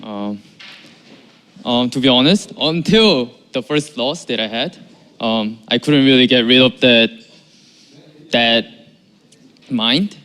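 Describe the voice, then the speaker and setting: A man's voice: medium pitch, slow pace, pauses often, a bit bright, clean tone. A man, a pro player, giving hesitant, short answers in an interview.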